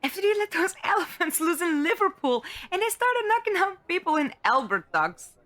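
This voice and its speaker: A woman's voice: very high-pitched, sing-song, normal speed, a bit bright, no room sound, exaggerated voice. A woman as a teasing, lilting young trapeze girl. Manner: playful, mocking. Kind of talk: audio drama acting.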